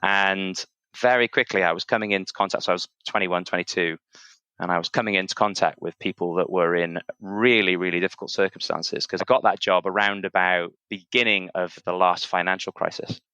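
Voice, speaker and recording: A man's voice: sits low, fairly even intonation, fairly quick, pushed and bright, smooth, dry recording, lo-fi sound. A man in his thirties from Wales with a Welsh accent, a podcast guest talking thoughtfully about his upbringing and career, hedging often with "kind of" and "I suppose". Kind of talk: reflective conversational interview.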